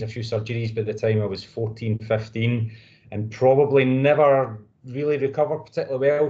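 A man's voice: medium pitch, mellow, highs cut off. A Scottish man in his thirties answering interview questions in a modest, rambling way. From Scotland. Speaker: guest physiotherapist.

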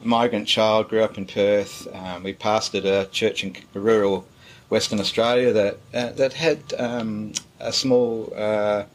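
A middle-aged man, a pastor, answering interview questions in a relaxed, hesitant way, with many fillers and pauses. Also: fairly low pitch.

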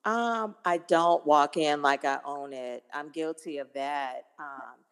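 A woman's voice: low voice, takes it slowly, clear, resonant tone, in a large hall. A woman over fifty, a guest on a dating talk show, speaking hesitantly with many fillers. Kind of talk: casual chat.

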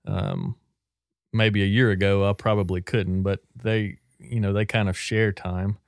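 A man hosting a podcast, leading a reflective discussion in a plain-spoken, measured way. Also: lowish voice, animated, gravelly, no room sound, clean studio sound. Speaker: podcast host.

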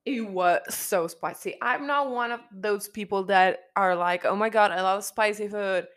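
A young woman with a slightly raspy, hoarse voice hosting her podcast in casual chat, repeating herself and restarting her sentences. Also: mid-range voice, unhurried, fairly bright tone. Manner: chatty, repeats herself, frequent restarts and fillers. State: hoarse after a concert. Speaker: podcast host. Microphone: studio microphone.

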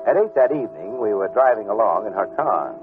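Casting: male actor for laid-back American private detective, lowish voice, wry and hesitant delivery, old muffled radio drama sound. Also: expressive, fairly quick, natural voice. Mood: wry, storytelling. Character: wry, easygoing male private detective narrating his case. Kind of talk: old-time radio detective drama acting and first-person narration. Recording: muffled vintage radio recording with music.

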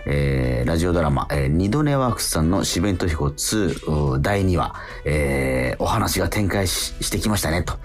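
Male radio host, deep-pitched and expressive, chatty and effusive, faint music underneath. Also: moderate pace, hardly any pauses. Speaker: radio show host and actor. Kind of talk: casual radio talk, reading listener mail. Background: background music.

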